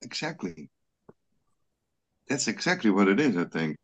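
A man whose first language is Frisian leads an online scripture study in English. His voice sits low and he talks very slowly, often stumbling over repeated words. The sound comes through a video call. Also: big pitch swings, muffled recording. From Netherlands. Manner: halting, many repeated words and restarts. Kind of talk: online religious study group discussion.

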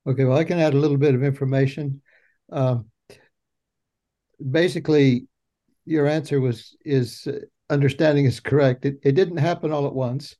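A man's voice: mid-range voice, steady tone, normal speed, pauses often, dark tone. A man in a discussion group explaining details, his pace uneven and broken by fillers. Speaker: group participant.

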